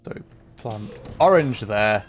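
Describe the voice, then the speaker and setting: A man's voice: on the low side, animated, slow. A man livestreaming a game with casual commentary and chat, half-muttering to himself as he plays. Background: game music.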